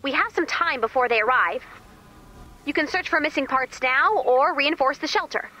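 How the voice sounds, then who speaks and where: A woman voicing an excitable, scatterbrained female robot assistant in a video game, babbling cheerfully and awkwardly. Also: sits high, normal speed. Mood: informative, chipper. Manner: chirpy, babbling, repeats words, awkward. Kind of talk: video game character dialogue.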